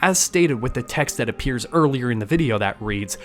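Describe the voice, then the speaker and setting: A man's voice: medium pitch, lively intonation, fairly quick. A man hosting an online video, giving scripted breakdown narration with casual touches. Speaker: YouTube host and narrator. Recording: voice-over with music underneath. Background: music.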